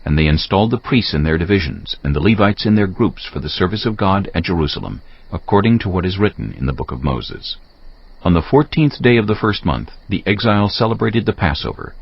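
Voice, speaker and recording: A man's voice: sits low, sing-song, brisk, breathy edge. A man narrating scripture from a script in a solemn, formal manner. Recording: muffled, music behind the voice. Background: music.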